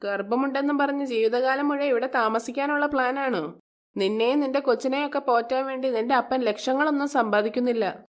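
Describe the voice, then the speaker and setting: A woman voicing a bitter, resentful middle-aged stepmother in a moral story, with a sharp, complaining, sarcastic manner. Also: high-pitched, fairly quick, natural voice. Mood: angry, scolding.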